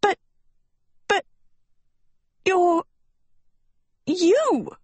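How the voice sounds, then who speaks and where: A woman's voice: sits high, sing-song, unhurried, no echo, pitched above the performer's own voice. A woman voicing a dramatic, indignant and pleading teenage girl in a storybook, with theatrical emphasis. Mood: excited, wheedling. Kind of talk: acted storybook dialogue.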